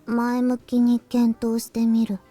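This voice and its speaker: A woman playing a quiet, stubborn schoolgirl genius in an anime visual novel, terse and hesitant in delivery. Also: fairly high pitch, completely flat delivery, moderate pace, close to an everyday voice. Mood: quiet, matter-of-fact. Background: game music.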